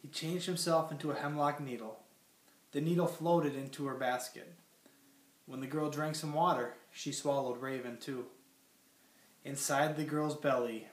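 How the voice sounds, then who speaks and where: A young man from Minnesota with a cold, teaching a classroom lesson and reading a story aloud in a plain, teacherly way with many fillers. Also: medium pitch. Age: young adult. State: has a cold. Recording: roomy sound from far off.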